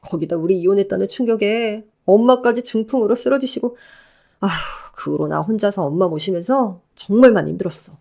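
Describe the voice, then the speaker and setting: A woman's voice: mid-range voice, lively intonation, a little fast, gravelly, mellow. A wheedling ex-husband who keeps pleading and feeling sorry for himself, read by a woman. His voice swings up and down as he begs. He sounds like a figure in a told drama story. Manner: wheedling, whiny begging. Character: pleading, self-pitying middle-aged ex-husband.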